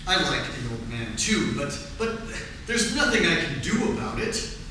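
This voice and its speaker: An exasperated, defensive prosecutor acted by a man in a vintage radio play. The voice is high and very forceful, insisting on each point. It comes from some way off in a heavily echoing hall. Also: big pitch swings, moderate pace. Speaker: community theatre actor. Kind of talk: 1940s radio play acting. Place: community theatre stage performance. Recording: echoey hall, distant mic, faint noise.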